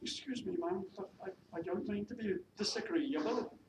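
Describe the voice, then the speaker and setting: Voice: mid-range voice, moderate pace, pitched above the performer's own voice, natural voice. A reader voicing a meek but determined young maid with an attempted Welsh accent, polite, hesitant and deferential, as in a period novel. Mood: timid, earnest. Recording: noisy echoey room, distant mic.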